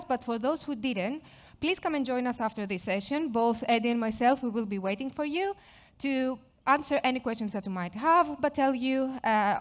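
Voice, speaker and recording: Greek-accented woman presenting on stage. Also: on the high side. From Greece. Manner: chatty, self-deprecating, with frequent fillers and restarts. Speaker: product marketing manager presenting. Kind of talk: conference session presentation. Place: conference stage.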